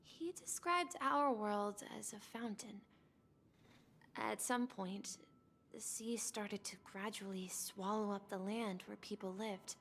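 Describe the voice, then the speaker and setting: Slow, wistful young game boy. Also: mid-range voice, slight background noise. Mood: solemn, nostalgic. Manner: hesitant, halting. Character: gentle, wistful young boy recalling his lost grandfather. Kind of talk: video game voice acting. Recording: loud music under the voice. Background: loud game music.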